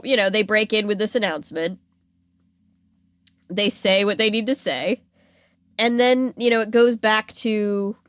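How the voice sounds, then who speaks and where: A woman hosting a podcast in a casual, animated storytelling style. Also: medium pitch, no echo, studio quality. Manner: animated retelling, acting out imagined reactions in quotes. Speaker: podcast host.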